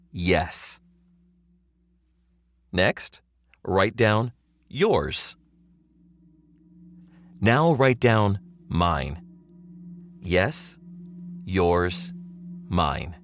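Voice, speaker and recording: An actor playing a chummy old pal with veiled menace in an audio drama monologue, talking straight to the listener in a rambling, needling, familiar way. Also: male voice, on the low side. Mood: controlled, instructing. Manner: conversational, rambling, chuckling, pointedly familiar.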